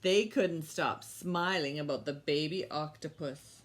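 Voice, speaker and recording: A woman's voice: low-pitched, unhurried. A woman hosting a children's storytime, reading and teaching in simple, encouraging, repeated phrases for young listeners. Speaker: children's librarian storytime host. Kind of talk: children's storytime with read-aloud and instruction.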